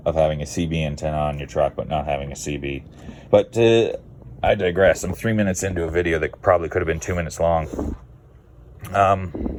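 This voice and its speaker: A Canadian man giving a casual, rambling how-to vlog in a laid-back, blunt, digressive way. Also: low voice. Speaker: car video creator.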